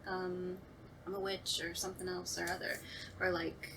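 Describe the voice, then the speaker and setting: A woman's voice: lowish voice, little pitch movement, moderate pace, creaky, far from the mic, noisy background. A woman in a casual panel discussion, thinking aloud in an informal, tentative way.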